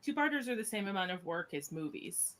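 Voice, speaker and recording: A woman's voice: mid-range voice, very animated, a little fast, a bit bright. A woman chatting casually on a podcast as one of its cast and writers. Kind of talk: casual chat.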